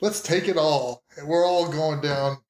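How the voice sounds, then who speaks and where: A man playing a tabletop game, chatting casually and playfully with excitable reactions, recorded in a roomy home studio. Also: fairly high pitch, measured pace.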